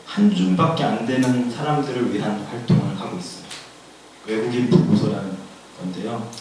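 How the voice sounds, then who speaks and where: Hoarse man lecturing in an echoey room. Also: medium pitch, darkish tone, slight background noise. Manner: plain explanatory, repeats key words for emphasis; asks the audience questions. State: hoarse from a cold. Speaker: forum presenter. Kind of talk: public lecture. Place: forum room. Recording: echoey room, far from the mic.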